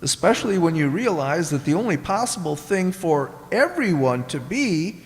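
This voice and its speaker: A man's voice: on the high side, very animated, moderate pace. An actor playing a fretful carrot father and government official in a comic radio play, formal and gloomy in manner. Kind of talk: comic science fiction radio drama acting. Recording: echoey hall with long reverb.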